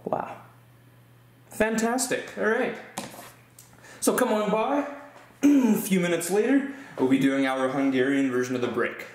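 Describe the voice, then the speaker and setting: A man hosting his own card-opening videos, chatting casually with fillers and excited exclamations, recorded by a camera mic at a tabletop indoors. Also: sits high, a little slow, pauses often, reverberant. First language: English. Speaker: host of a card-opening channel. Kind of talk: casual hobby commentary.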